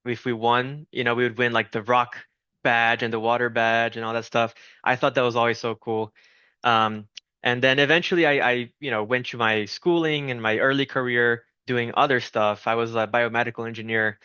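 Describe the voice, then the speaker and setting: A man's voice: medium pitch, fairly even intonation, moderate pace, pushed and bright, smooth, dry recording, highs cut off. A man from Brazil, in his thirties, a founder talking as a guest in a friendly, chatty way with many restarts. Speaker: game studio founder, guest. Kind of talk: casual interview talk.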